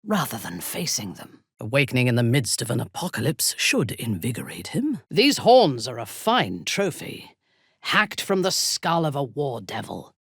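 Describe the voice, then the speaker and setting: A woman voicing a cold, proud, menacing female drow commander in a video game, with haughty, formal, deliberate delivery and a low, husky voice. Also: dry recording, studio quality, exaggerated voice. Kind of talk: dramatic video game acting.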